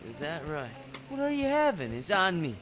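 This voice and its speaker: An actor playing a shady war veteran turned reluctant killer, slangy and evasive, in old time radio style. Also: male voice, a little high, wildly swooping pitch, normal speed. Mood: breezy.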